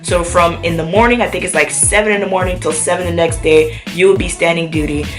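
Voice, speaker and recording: Sick woman chatting to her camera, lots of ums, kinda low voice, faint music. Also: fairly even intonation, fast talker. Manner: chatty, many fillers. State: sick with a cough. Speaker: vlogger. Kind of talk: casual chat to camera.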